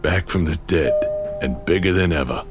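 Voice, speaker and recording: Man as a low-voiced, menacing hard-boiled tough guy. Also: normal speed, natural voice. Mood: dry, cocky. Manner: terse, mocking, hard-boiled. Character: menacing, sardonic male tough guy out for revenge. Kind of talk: radio drama acting.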